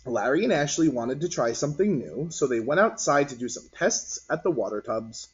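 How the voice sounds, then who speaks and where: Husky man reading a children's story. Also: medium pitch, very animated, fairly quick. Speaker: read-aloud narrator. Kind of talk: children's picture book read-aloud. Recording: dull lo-fi recording.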